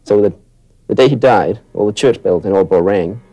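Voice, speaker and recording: A man's voice: on the low side, moderate pace, warm tone, slightly rough, soft background music, rough recording. A man informally telling a local anecdote in a conversational way.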